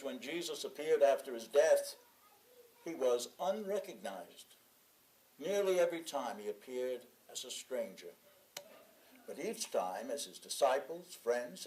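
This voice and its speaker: A man reading a sermon from a prepared text in a measured, deliberate way, leaning on key phrases and pausing between them. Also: a little high, darkish tone, rough recording. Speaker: sermon reader in a church congregation.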